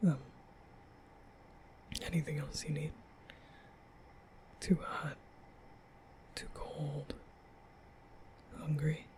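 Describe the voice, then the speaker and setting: A man playing a nervous, caring young vampire in an ASMR roleplay, speaking softly and hesitantly with stammers in a breathy whisper. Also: on the high side, flat delivery, drawn-out words, long pauses, slight background noise. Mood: gentle, attentive. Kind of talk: ASMR roleplay, soft comforting boyfriend audio.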